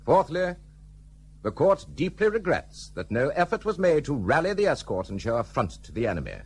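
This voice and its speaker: An actor playing a stern, solemn military court president in a radio drama, formal and declamatory, on a faintly noisy recording. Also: male voice, fairly high pitch, sing-song, moderate pace, breathy edge. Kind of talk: period radio drama acting.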